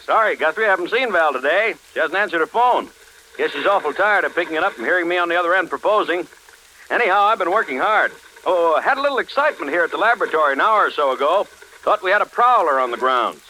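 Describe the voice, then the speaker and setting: An excitable engineer from a 1940s radio thriller. His voice is high and very bright, with a forceful edge. The vintage recording carries faint noise. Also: quick pace, rough recording. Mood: breezy, chatty. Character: excitable engineer turning frightened and evasive over radio.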